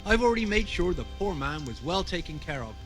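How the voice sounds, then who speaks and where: A man's voice: sits high, sing-song, brisk, soft background music. A man playing a courteous but guarded medieval monastery overseer in a video game, speaking formally. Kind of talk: cinematic game dialogue.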